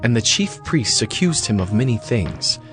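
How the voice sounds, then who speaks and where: A man's voice: mid-range voice, very animated, moderate pace. A man giving a scripted, solemn and dramatic narration of a scripture story. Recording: music behind the voice. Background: background music.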